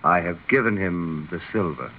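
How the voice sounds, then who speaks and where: An actor playing a kindly, solemn old French bishop in 1930s radio style. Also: male voice, sits low, animated, moderate pace. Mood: gentle, dignified. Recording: muffled, band-limited 1930s radio recording.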